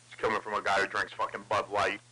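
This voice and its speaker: A man's voice: fairly low pitch, monotone, quick pace. A man chatting casually over CB radio with crude, profane banter. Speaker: CB radio operator. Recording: noisy CB radio transmission with clicks.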